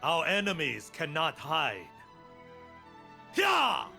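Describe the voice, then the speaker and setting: A man playing a stern, calm warrior monk in a video game, speaking solemn, measured maxims of discipline and battle. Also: fairly high pitch, gravelly, faint music behind, full character voice. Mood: resolute, then battle cry. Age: middle-aged. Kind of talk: video game character voice lines.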